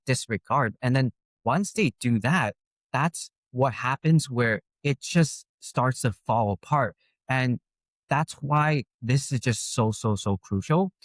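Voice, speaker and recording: Young male host, casual, lots of "like", mid pitch, dry close sound. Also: dry recording. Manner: casual, filler-heavy with many "like"s. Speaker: podcast host. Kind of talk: casual interview hosting.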